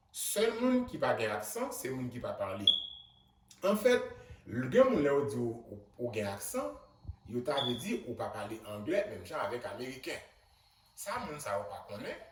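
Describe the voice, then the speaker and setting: A man with a Haitian accent, a doctor giving an earnest, emphatic motivational video message to his community. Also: mid-range voice. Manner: earnest and emphatic, rising loudly on key points. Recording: roomy echo, distant mic, faint background noise.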